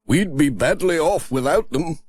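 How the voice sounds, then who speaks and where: A man voicing a gentle, pious village reverend with a drawn-out, preachy manner in a children's storybook. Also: a little high, expressive, pitched above the performer's own voice. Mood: gently pious.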